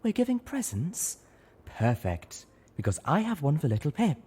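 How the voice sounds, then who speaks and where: A man's voice: on the high side, huge pitch swings, moderate pace, mellow, slightly rough, voice pushed up, full character voice. Dude doing a fancy lady pony, theatrical, singsongy, sweet but chiding, audiobook voice, very swoopy. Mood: playful. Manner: sing-song, theatrical. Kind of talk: audiobook character acting.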